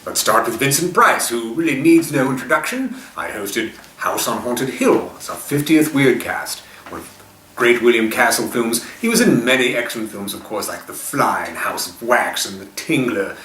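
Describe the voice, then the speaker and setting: A man with a bright, forceful voice presents as a show host. He sounds set back from the microphone in an echoey, noisy space, addressing his audience with film trivia. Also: mid-range voice, big pitch swings, normal speed. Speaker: host of a retro TV and movie show. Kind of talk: hosted introduction with film trivia. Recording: echoey, noisy room, far from mic.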